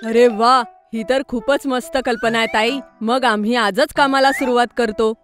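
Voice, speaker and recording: Woman as a highish excitable cartoon schoolboy. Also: normal speed, close to an everyday voice. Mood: delighted. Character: young schoolboy, excitable, curious, slightly worrying. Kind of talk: children's cartoon story dialogue. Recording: music behind the voice.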